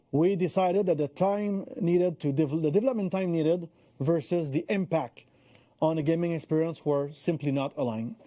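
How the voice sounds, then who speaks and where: Very dark-voiced man giving an update. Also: on the high side, dry recording. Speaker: game developer spokesperson. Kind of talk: live stream news update, semi-prepared.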